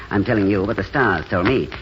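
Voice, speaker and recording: An actor playing an eloquent, fatalistic gentleman wanderer with a musing, theatrical manner, in a noisy 1940s radio drama. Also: on the low side, moderate pace, dull audio, natural voice. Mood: wry musing.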